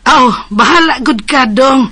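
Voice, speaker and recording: A woman's voice: high voice, a little slow, bright, forceful tone, breathy edge, no room sound, full character voice. An actress voicing a caring aunt, coaxing her nephew in a radio drama. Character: caring aunt of a young man.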